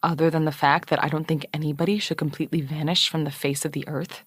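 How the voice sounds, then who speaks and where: An actress playing a proud, combative radio journalist in an audio comedy-drama, haughty, pedantic and exasperated with precise wording. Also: female voice, deep voice, level tone, quick pace, slightly rough, dry recording, clean studio sound. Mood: intense, accusing. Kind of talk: animated audio fiction comedy-drama acting.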